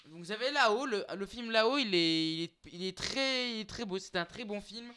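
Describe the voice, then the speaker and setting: A young man with a high, bright voice chats loosely to camera, stumbling through restarts and filler sounds as he goes. His tone is clean and smooth, and he keeps turning to his viewers as "guys." The talk is unscripted. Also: expressive, a little fast. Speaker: YouTuber listing streaming titles. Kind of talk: casual unscripted vlog talk.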